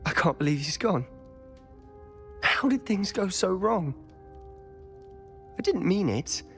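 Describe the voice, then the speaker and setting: A man's voice: sits high, sing-song, slow, vocal fry, a bit bright, exaggerated voice. A man playing an anguished, impulsive teenage schoolboy wizard in a dramatic video game scene, speaking emotionally and pleadingly, with music behind. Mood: distraught, pleading.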